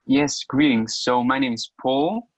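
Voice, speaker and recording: A man's voice: medium pitch, unhurried. A man of nineteen speaks conversationally about himself as a student on a panel. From Greece. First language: Greek. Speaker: physiotherapy student, panel participant. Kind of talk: conversational self-introduction and reflection.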